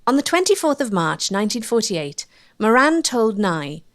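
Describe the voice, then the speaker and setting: A woman's voice: fairly high pitch, sing-song, normal speed, a bit bright. A woman with a Welsh accent reading scripted narration as the presenter of a documentary.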